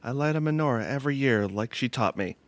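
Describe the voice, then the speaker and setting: A man's voice: mid-range voice, animated, fast talker, lightly acted. A man playing a genial book club guest in a comedic audio drama.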